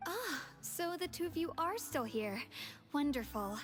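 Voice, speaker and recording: A woman's voice: sits high, very animated, unhurried. A woman playing a polite, composed palace messenger in a video game, with a formal, reassuring manner.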